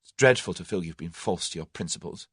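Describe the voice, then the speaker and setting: A man's voice: low voice, expressive, brisk, fairly bright tone, breathy edge, dry recording, clean studio sound, heavily acted. A man voicing a plucky, proud young English woman in an audiobook, earnest and exclamatory. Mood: rueful. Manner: earnest, exclamatory, self-scolding. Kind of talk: audiobook character voice.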